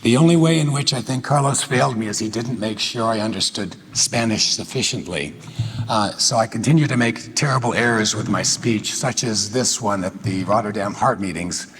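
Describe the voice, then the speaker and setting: A man's voice: fairly low pitch, husky. Older American man in a reverberant hall. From United States. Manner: humorous, self-deprecating, hesitant with many uh fillers. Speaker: cardiologist giving a tribute speech. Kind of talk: anecdotal tribute speech. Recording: long hall reverb, faint noise.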